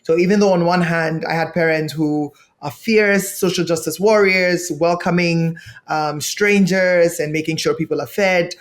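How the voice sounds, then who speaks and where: A young man from Ghana, a podcast guest, telling his life story in an earnest, measured way. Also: on the high side, steady tone.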